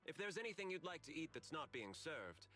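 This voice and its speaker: An actor playing a smooth, courteous male company manager in a video game, speaking in a formal, diplomatic manner. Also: fairly high pitch, sing-song, fairly quick, pushed and bright, soft background music. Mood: gracious, welcoming. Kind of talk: scripted video game voice acting.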